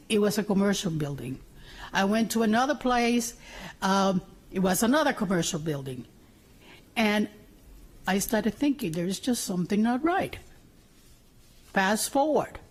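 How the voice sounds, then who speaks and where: A woman from Puerto Rico giving informal testimony at a public hearing, telling it through anecdotes and quoted remarks. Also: a little high. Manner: anecdotal, acts out remembered remarks. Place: city council chamber hearing.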